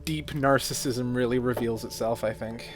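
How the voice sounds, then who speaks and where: A man's voice: mid-range voice, steady tone, normal speed. A man on a discussion panel talking casually with a dry, sardonic manner. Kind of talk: casual chat. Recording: music playing behind the voice.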